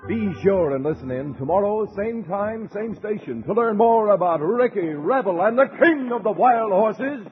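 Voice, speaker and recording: A man's voice: sits high, expressive, normal speed, hardly any pauses. A man announcing the sign-off of a vintage radio serial. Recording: dull old radio recording, organ music.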